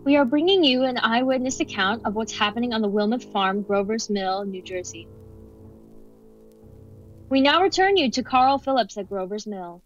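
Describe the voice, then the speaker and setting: A woman's voice: a little high, animated, moderate pace, close to an everyday voice. A woman playing a formal radio news announcer reading a scripted bulletin in a radio play. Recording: dull lo-fi audio under music.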